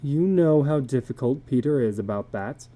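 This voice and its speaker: A woman's voice: a bass voice, expressive, normal speed, warm tone. A woman voicing a reassuring husband and father in a dramatic story reading, soothing and reasoning. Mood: placating, reluctant.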